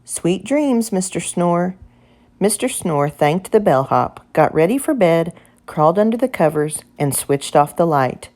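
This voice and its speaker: A woman reading a children's picture book aloud as expressive, scripted narration. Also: low-pitched, normal speed. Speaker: storybook reader.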